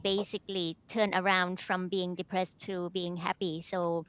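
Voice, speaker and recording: A woman's voice: lowish voice, level tone, unhurried, a bit bright, clear, resonant tone, no echo, low-quality recording. A woman with a Thai accent, a happiness coach speaking hesitantly as a guest on a video call. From Thailand. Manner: hesitant, many ums. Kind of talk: casual video call chat.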